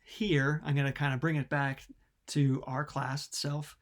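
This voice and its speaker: A man's voice: a little high, flat delivery, measured pace. A man with an American accent giving a recorded lecture, casual, with many ums and restarts. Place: New Zealand.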